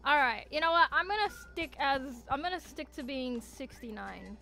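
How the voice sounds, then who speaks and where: A woman's voice: high-pitched, animated, normal speed, vocal fry, bright, forceful tone, faint music behind. A woman livestreaming games, chatting casually and teasingly with viewers, with playful theatrical emphasis. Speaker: VTuber game streamer. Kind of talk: casual livestream chat, reacting to viewers' messages.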